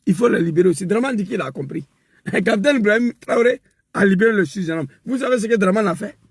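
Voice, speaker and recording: A man delivering an impassioned political rant, emphatic and repetitive, rising to shouts. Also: high voice, animated, quick pace, creaky, slightly rough, no echo, clean studio sound. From West Africa. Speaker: political commentator.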